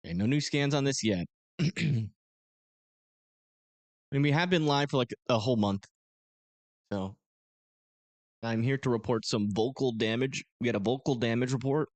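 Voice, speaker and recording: A man with a tired, hoarse voice giving loose, rambling radar commentary on a live stream. He has an ordinary mid pitch, and he sits close to the microphone in a dry, dead-sounding space. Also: normal speed, pauses often, no echo. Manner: casual, rambling, self-deprecating. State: hoarse, strained voice. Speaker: storm-chasing livestream host. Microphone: close desk microphone.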